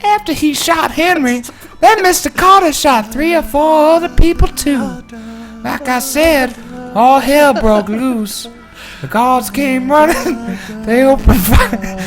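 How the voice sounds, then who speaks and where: A woman performs a folksy witness telling her terrible tale in a dramatic reading. She takes her time, stretching out plain, colloquial phrases. Music plays clearly underneath. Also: high voice, expressive, voice pushed up. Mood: grave. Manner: folksy, colloquial, drawn-out storytelling. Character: folksy woman survivor recounting a horrific bar shooting. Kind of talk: dramatic reading of a first-person eyewitness account.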